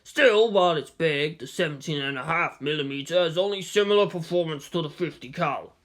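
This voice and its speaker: A man voicing a weary, blunt old gunsmith in his seventies with a gravelly voice and a matter-of-fact manner, in a storybook reading. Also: a little high, brisk, fairly bright tone, lightly acted. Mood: matter-of-fact, grim.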